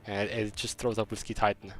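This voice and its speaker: A man's voice: lowish voice, flat delivery, brisk, soft background music. A young man from Germany with a slight German accent, chatting casually on a game stream and rambling through a story with many restarts. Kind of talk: casual stream chat, reading chat.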